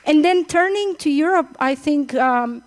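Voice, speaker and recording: A woman's voice: high-pitched, lively intonation, a little slow. A middle-aged woman with a Bulgarian accent giving a conference speech, with hesitant phrasing and repeated words. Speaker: conference speaker. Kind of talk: opening speech at a summit.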